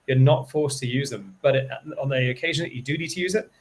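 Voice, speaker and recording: Quick, emphatic man co-presenting. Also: mid-range voice, a little hiss or hum. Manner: emphatic, recommends strongly, repeats words. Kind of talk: enthusiastic product webinar talk.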